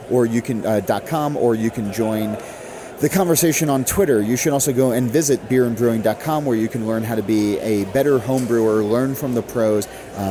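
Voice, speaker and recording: A man hosting a podcast, chatting casually with a guest and reading plugs at the close. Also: medium pitch. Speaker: podcast host. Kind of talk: casual interview and show sign-off.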